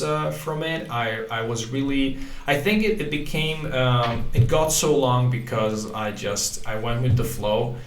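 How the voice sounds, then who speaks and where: A man hosting a language-learning channel, talking casually to camera in halting English with many restarts. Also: mid-range voice, fairly bright tone. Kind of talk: casual talk to camera, reading quotes aloud. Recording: echoey room with faint ambient noise.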